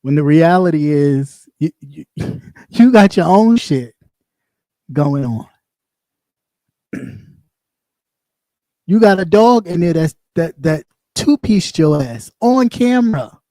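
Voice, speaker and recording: A man's voice: a little high, expressive, takes it slowly, long pauses, mellow, no room sound. A man with a light voice, ranting bluntly and casually as a livestream host, repeating himself as he goes. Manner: blunt and sassy, talks in loops and repeats himself. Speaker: live stream host; former radio host.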